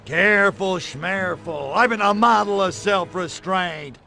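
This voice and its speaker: A man playing a cocky, lecherous little alien invader in a video game, with a gravelly voice and brash, slangy wisecracks. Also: sits high, measured pace, bright, forceful tone, faint music behind, heavily acted. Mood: brash, irritated. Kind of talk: video game cutscene voice acting.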